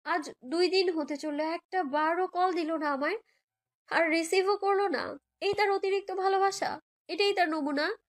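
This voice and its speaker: A woman's voice: very high voice, moderate pace. A young woman narrates a romantic story in the first person, in an emotive, girlish way, as if living it. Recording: background music under voice.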